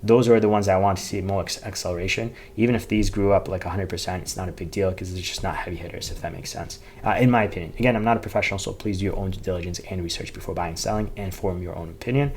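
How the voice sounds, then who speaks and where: A man giving informal, unscripted finance commentary, reading out figures in a halting, repetitive way and often correcting himself. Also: deep voice, steady tone, a little mellow, a little hiss or hum. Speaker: finance YouTuber reviewing company earnings.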